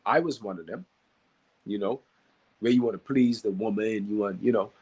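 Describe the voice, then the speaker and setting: A man from the Bahamas with a Bahamian accent and a slightly husky voice, teaching conversationally and emphatically, repeating key phrases and asking rhetorical questions. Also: on the low side, moderate pace, darkish tone, rough recording. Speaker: podcast guest, Qigong practitioner and teacher.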